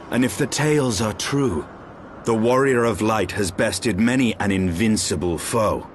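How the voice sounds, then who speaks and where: A proud dragon-slayer speaks in stern, measured, archaic phrases for a fantasy game. His voice sits deep, with faint noise behind it. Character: grim proud dragon-slaying knight, resolute and blunt.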